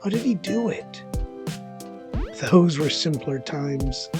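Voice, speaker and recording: An actor playing a bitter, self-deprecating loner in a conversational dramatic monologue, rambling through defensive and resentful asides in a gravelly voice. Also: male voice, on the high side, expressive, slow, over music. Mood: wistful, resentful.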